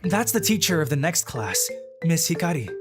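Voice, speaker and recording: A dry, secretly brilliant schoolboy, voiced by a man, talking through his thoughts in a flat, wry monologue. Music plays clearly underneath. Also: on the high side, moderate pace. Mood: deadpan. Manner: deadpan inner monologue. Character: dry, secretly genius teenage boy student.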